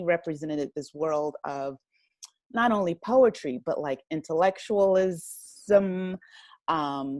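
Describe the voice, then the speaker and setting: A woman's voice: fairly low pitch, darkish tone, no room sound. An American woman in her fifties from New Jersey, reflecting on her life conversationally as an interview guest. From New Jersey, United States. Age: 50s. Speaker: interview guest, writer and director. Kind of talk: conversational interview, personal reminiscence.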